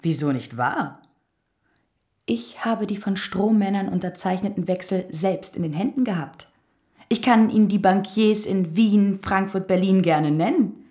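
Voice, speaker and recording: A woman's voice: medium pitch, unhurried, warm tone, natural voice. Radio drama baroness, played by a woman: calculating, persuasive, mid-range female voice. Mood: insistent, scheming. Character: scheming baroness bargaining with secrets for advice. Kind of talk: German radio drama acting.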